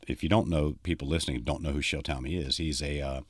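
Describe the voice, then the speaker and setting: A man with a deep voice, pitched at the very bottom of the range, talks as a guest on a podcast. He is a touring country musician who tells stories loosely and circles back with plenty of "you know". The sound is dry and close, with no room echo. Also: steady tone, quick pace. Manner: rambling storyteller, many "you know"s. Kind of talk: casual podcast conversation.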